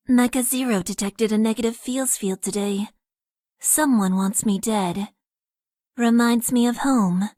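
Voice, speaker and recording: An actress playing a stoic young female mecha pilot who holds back her emotions, speaking in a flat, deadpan way. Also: on the high side, dry recording, studio quality, close to an everyday voice. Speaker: game character. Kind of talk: dating sim voice lines.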